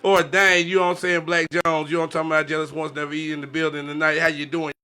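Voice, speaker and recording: A man's voice: on the high side, level tone, fast talker, pushed and bright, clean tone. A man hosting a casual podcast, introducing it in a hyped, slangy, repetitive way. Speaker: podcast host. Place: podcast studio.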